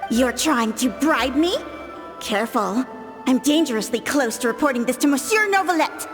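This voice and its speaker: An actress playing a prim, by-the-book official in a video game, speaking in a formal, officious manner. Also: female voice, high voice, animated, moderate pace. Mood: stern. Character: prim, by-the-book female official enforcing regulations. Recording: reverberant, with music behind.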